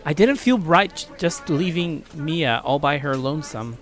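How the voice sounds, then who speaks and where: A man's voice: a little high, expressive, normal speed, a bit bright. A man giving casual, rambling let's play commentary with crude joking asides. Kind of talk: casual game commentary and read-aloud.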